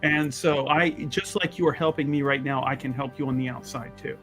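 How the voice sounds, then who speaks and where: A man's voice: medium pitch, fairly even intonation, brisk, a bit bright. A man playing a tabletop roleplaying game, improvising in character with enthusiasm. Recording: background music.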